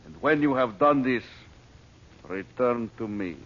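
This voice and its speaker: A man's voice: mid-range voice, animated, measured pace, close to an everyday voice. An actor playing a cold, ruthless male villain who gives orders in a commanding, menacing 1930s radio drama manner. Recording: lo-fi old radio transcription with hiss.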